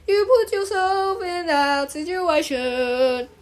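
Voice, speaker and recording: A high, very slow female drama teacher from a children's storybook acted out by a woman. She gushes in a sing-song lilt, stretching her words theatrically as she coaxes a group of children. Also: animated, loud music behind the voice, exaggerated voice. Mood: dramatic, coaxing. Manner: drawn-out, theatrical delivery.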